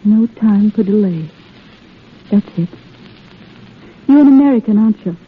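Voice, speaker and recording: An actress playing a guarded, wistful young wartime nurse falling in love, in 1930s radio drama style. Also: female voice, medium pitch, lively intonation, moderate pace, a little hiss or hum, close to an everyday voice. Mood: guarded, curious. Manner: hesitant, wistful, earnest. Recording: muffled tinny old radio recording, faint music.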